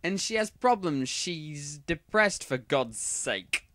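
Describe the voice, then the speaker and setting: Man playing a whiny teen author, petulant, slow drawn-out words, raised pitch. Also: lively intonation, pushed and bright, no room sound, heavily acted.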